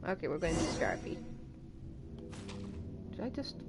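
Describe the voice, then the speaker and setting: Lively, wry woman streaming a game. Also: sits low, normal speed, noisy background. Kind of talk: casual game stream commentary. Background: game music.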